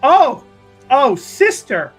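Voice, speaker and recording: A woman's voice: sits high, sing-song, extremely slow, soft background music, voice pushed up, heavily acted. A woman playing a gossipy, playfully scandalized chantry sister in a tabletop roleplaying game, with a theatrical, mock-pious manner.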